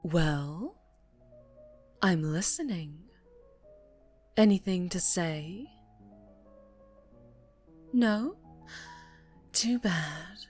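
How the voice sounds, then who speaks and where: A dangerous, seductive female mafia boss, acted by a woman in an ASMR roleplay. She speaks slowly in a lowish voice, teasing and threatening with cruel relish, and often sinks into a breathy whisper. Music plays behind her. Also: lively intonation, a little mellow, heavily acted. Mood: sadistic amusement.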